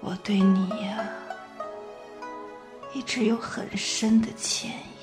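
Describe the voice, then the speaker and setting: A woman acting a tender, weary woman making peace with an old love in a radio play, hushed and deliberate. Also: medium pitch, little pitch movement, natural voice. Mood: tender, sorrowful. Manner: slow, hushed, deliberate, pausing between short phrases. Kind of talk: amateur radio drama reading of a stage play. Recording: zither music behind. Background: zither music.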